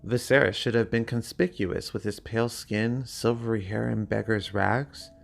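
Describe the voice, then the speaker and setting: A man narrating a story dramatically, with a gravelly voice and a measured, theatrical delivery. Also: fairly low pitch, fairly even intonation, soft background music.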